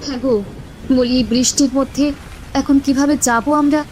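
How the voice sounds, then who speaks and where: A woman's voice: high voice, steady tone, normal speed, a little mellow, slight background noise, close to an everyday voice, rough recording. A woman playing an anxious, fretful village wife who keeps cautioning, in a ghost story cartoon.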